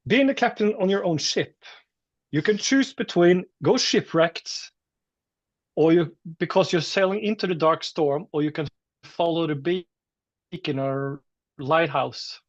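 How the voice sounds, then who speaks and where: Higher-voiced Norwegian man, slightly muffled sound. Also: slow, long pauses, no echo. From Norway. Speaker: metal musician being interviewed. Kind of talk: casual interview chat.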